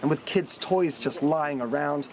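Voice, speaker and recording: A man's voice: a little high, a little slow, slight background noise. A man with a New Zealand accent reporting for television news from out in the field. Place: outdoors on location by damaged roads.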